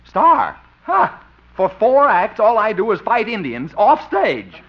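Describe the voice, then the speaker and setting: A man playing a bossy, vain, loud station boss in a 1950s radio comedy, blustering and self-important. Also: sits high, wildly swooping pitch, takes it slowly, exaggerated voice. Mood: indignant. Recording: muffled, noisy 1950 radio recording.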